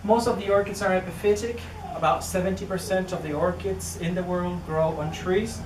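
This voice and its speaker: A man's voice: high voice, steady tone, normal speed. Chilean man in his thirties, hesitant researcher giving a public talk, recorded from afar in an echoey, noisy room. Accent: Chilean Spanish. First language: Spanish. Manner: hesitant, many fillers and restarts. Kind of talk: public lecture. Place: indoor venue near a greenhouse. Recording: distant roomy recording with noise.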